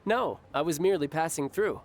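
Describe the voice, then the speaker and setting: A man's voice: a little high, fairly quick, slight background noise, lightly acted. A man voicing a wry, teasing young man in a game. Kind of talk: game voice acting.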